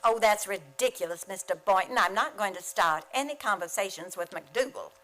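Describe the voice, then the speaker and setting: An actress plays a witty woman schoolteacher from a radio comedy. Her voice sits at a middling pitch. She is dry and sardonic, a lovelorn type who turns every remark into a quip. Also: normal speed, a bit bright, natural voice. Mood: wry, amused. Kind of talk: comedic radio play acting with narration.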